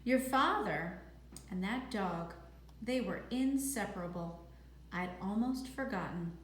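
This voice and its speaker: A man's voice: high voice, animated, slow, fairly bright tone, full character voice. Lady reading as a brusque old grandpa from a kids book, blunt and clipped, roomy echoey sound. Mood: wistful. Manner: clipped, blunt, matter-of-fact. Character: gruff, brusque old grandfather, dutiful and curt. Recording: echoey room with faint noise.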